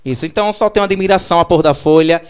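A man's voice: on the high side, racing, clear, resonant tone. A young man in his twenties from Alagoas, Brazil, talking in a campy, theatrical way into a handheld mic in a small TV studio. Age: 20s. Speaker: drag persona guest. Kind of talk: playful interview banter. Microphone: handheld microphone.

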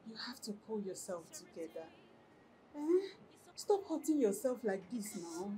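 An actress playing a caring young friend, soothing and reassuring. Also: female voice, medium pitch, expressive, a little slow, warm tone, natural voice. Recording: echoey room, distant mic, noisy.

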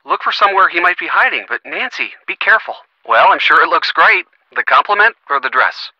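An actor playing a supportive, teasing young boyfriend in a video game, earnest and lightly wry, heard through a phone filter. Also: male voice, a little high, very animated, fairly quick, no echo. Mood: worried, protective, then friendly, teasing. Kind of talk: video game voice acting, conversational. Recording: thin, band-limited phone-like sound.

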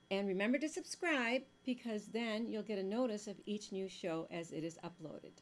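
Middle-aged woman hosting a TV talk show. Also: fairly high pitch. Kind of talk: scripted TV interview intro. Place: TV studio at a desk. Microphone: desk microphone.

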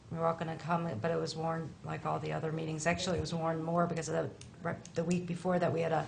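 A middle-aged woman official answers questions at a town board meeting, her voice low, flat and deeply gravelly. She explains in a matter-of-fact way over faint room noise. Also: rough recording.

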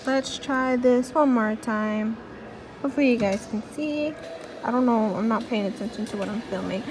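A young woman vlogging casually in a large indoor mall, chatty and pleading excitedly at a claw machine, recorded on a handheld phone. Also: a little high, moderate pace, background noise. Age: young adult. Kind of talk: casual vlog commentary. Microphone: phone camera at arm's length.

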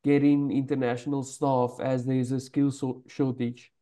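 A man's voice: mid-range voice, little pitch movement, unhurried, mellow. A man whose first language is Afrikaans, speaking as an alumnus on a video-call panel in a matter-of-fact way. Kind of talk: answering questions on a webinar panel.

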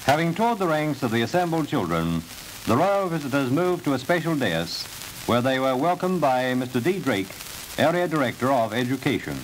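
A man's voice: medium pitch, sing-song, moderate pace, poor audio. A man reading scripted linking narration in a 1950s broadcast announcer style. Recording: hissy old 78rpm record.

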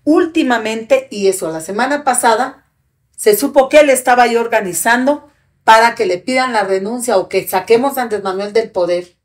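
A woman's voice: fairly high pitch, moderate pace. A woman in her eighties talking as a podcast guest, ranting in a fiery, indignant and profane way, close to a studio microphone. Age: 80s. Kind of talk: heated interview talk. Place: small studio with brick wall and sofa. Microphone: podcast mic on a stand in front.

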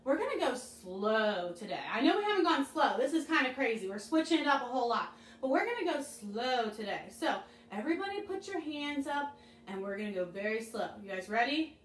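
A young woman leading a children's chapel lesson from her living room couch, talking brightly and animatedly to the kids. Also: high voice, sing-song, a little fast, slight background noise. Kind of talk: children's devotional and craft lesson. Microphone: camera a few feet away. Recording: echoey room, mic at a distance.

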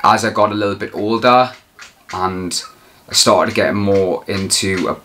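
Young preacher, very low voice with a bright edge, hesitant interview talk. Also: male voice, fairly even intonation, measured pace, slight background noise. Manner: reflective, hesitant with restarts. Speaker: street preacher. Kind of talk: documentary interview.

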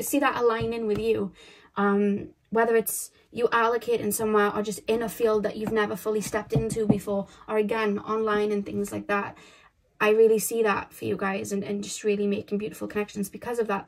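A young woman giving a casual tarot reading, rambling and repeating herself, her voice a little croaky. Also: mid-range voice, darkish tone, low-quality recording. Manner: chatty and rambling, repeats phrases, many fillers. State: slightly croaky voice. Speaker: tarot card reader. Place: indoors at a table, camera overhead.

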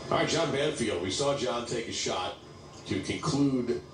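A man's voice: mid-range voice, unhurried, echoey room, distant mic, noisy background. A middle-aged man calling a youth bowling match as play-by-play commentator, wry and conversational. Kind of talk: sports broadcast commentary.

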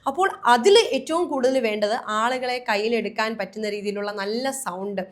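A woman's voice: a little high, moderate pace. A woman hosting a conversational news discussion, wordy and often rephrasing her points.

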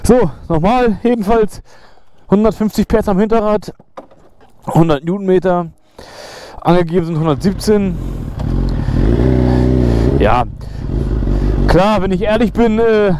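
A man's voice: high voice, expressive, unhurried, pauses often. A young man vlogging from his motorcycle in the rain, chatting casually with lots of slang, swearing and laughter. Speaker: motovlogger. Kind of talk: casual vlog chat while riding. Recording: loud road and engine noise.

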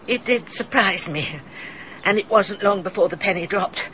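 A woman's voice: medium pitch, normal speed, pushed and bright, muffled recording, slight background noise, lightly acted. An actress playing a genteel middle-aged English village woman in a radio drama, confessing in a wistful, melodramatic, theatrical way. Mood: grave, tense. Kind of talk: British radio drama acting.

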